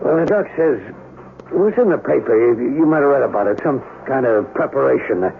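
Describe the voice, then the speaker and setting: Rural mechanic, radio drama, thin faintly hissy sound, gravelly, halting, folksy, embittered. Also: male voice, medium pitch, lively intonation. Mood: halting, sorrowful. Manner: folksy, halting, ungrammatical speech. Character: rural small-town mechanic, grieving, embittered, plain-spoken man. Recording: narrow phone-like, faintly noisy radio broadcast.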